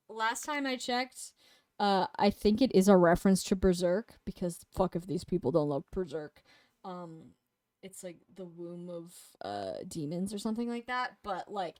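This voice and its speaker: A woman's voice: lowish voice, darkish tone, no room sound. A young woman co-hosting a casual game review chat, rambling with lots of fillers and swearing, a bit sniffly and losing her voice. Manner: rambling and profane, with many fillers. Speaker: co-host reviewing games.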